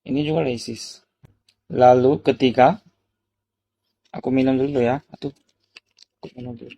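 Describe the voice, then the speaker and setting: Korean guy w korean accent, native korean, vlog storytelling, mellow relaxed tone, simple repeated phrases, keeps asking viewers questions. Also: mid-range voice, normal speed, gravelly, muffled recording. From South Korea. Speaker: vlogger. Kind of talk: casual personal storytelling to camera.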